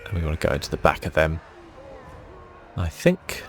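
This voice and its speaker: A man plays a game and comments softly in ASMR style. His voice is very low and full of fry. He thinks aloud in a relaxed way, with audible noise and ambience behind him. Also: normal speed. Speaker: gaming video host.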